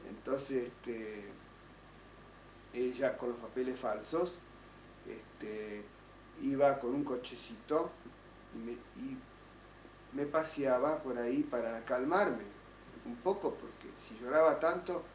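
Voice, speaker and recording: An older man whose first language is French, giving halting oral history testimony with many restarts and fillers. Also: mid-range voice. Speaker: interviewee, child survivor. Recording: roomy, distant, faint noise.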